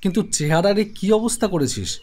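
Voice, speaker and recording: A young man narrating an animated horror story in the first person, conversational and reflective. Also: high-pitched, a little fast. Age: young adult. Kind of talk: scripted storytelling.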